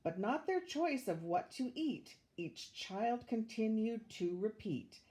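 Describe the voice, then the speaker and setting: An older woman reading a rhyming children's picture book aloud with measured, expressive storytelling. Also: on the high side. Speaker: volunteer storybook reader, retired teacher.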